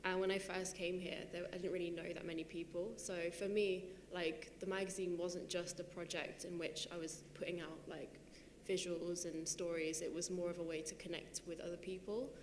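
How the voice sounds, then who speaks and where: A woman's voice: lowish voice, flat delivery, moderate pace, few pauses, roomy sound, long reverb tail, slight background noise. A woman from Nottingham, England who founded a magazine, speaking reflectively on a panel. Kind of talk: panel talk on stage. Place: large theatre stage.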